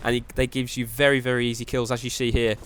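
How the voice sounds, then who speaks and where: A young man with a cold narrates gameplay in a quick, steady flow. His voice sounds nasal and stays flat, with faint gunfire and explosions from the game behind him. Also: medium pitch, noisy background. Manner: quick, even delivery with repeated "very, very". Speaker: gaming commentator. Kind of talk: gameplay commentary with tips.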